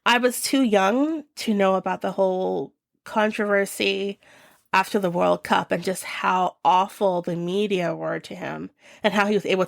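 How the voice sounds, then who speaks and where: A woman's voice: medium pitch, gravelly, no room sound, studio quality. A young woman chatting casually and enthusiastically as she reviews her favorite shows of the year, with many fillers.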